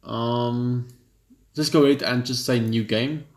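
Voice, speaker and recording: A young man giving casual gameplay commentary and reading aloud, halting and stumbling over words as he goes. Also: mid-range voice, steady tone. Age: young adult. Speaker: gaming video host. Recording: roomy echo, clear background noise.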